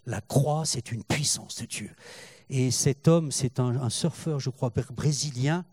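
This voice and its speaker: An older man preaching a sermon in French, halting and repeating words, rising in fervor as he goes. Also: mid-range voice, a little mellow, husky, no room sound. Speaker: pastor.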